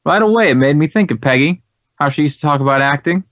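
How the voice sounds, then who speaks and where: A man's voice: fairly high pitch, animated, fast, no room sound, natural voice. An American man playing an earnest, talkative drama school head in a radio drama, speaking with emphatic, reflective phrasing. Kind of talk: 1950s radio drama dialogue. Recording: dry, dull vintage radio recording.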